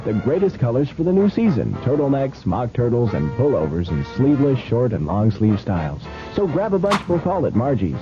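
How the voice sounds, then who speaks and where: Animated male radio ad announcer over music. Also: medium pitch, fairly quick, hardly any pauses. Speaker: commercial announcer. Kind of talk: scripted radio advertisement. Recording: muffled old radio broadcast.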